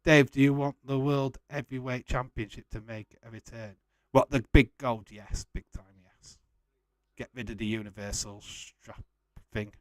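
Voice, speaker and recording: A man in his forties with a Yorkshire accent chats casually as a livestream host. His voice is mid-pitched, and his delivery is blunt, chummy and informal. The sound is dry, with very little room echo. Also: fairly even intonation, moderate pace. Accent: Yorkshire English. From West Yorkshire, England. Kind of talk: casual watch-along chat, reading out viewer comments.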